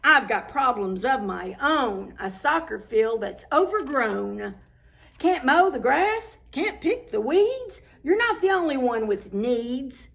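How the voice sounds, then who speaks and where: A woman's voice: sits high, sing-song, slow, vocal fry, a bit bright, full character voice. A woman voicing a blustery soccer coach in a picture book, emphatic and grumbling. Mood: complaining, urging.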